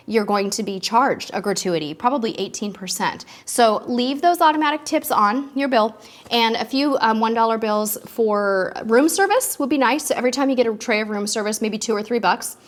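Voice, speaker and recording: A woman's voice: a little high, lively intonation, moderate pace. A woman hosting a live cruise-tips stream and answering viewer questions in a chatty, upbeat way, a little stuffy from allergies. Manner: chatty and upbeat, with frequent ums.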